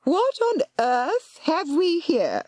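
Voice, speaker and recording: A woman voicing a brisk, commanding elderly aunt with a voice that cuts through. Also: extremely high pitch, wildly swooping pitch, a little mellow, dry recording, voice pushed up, heavily acted. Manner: commanding, crisp.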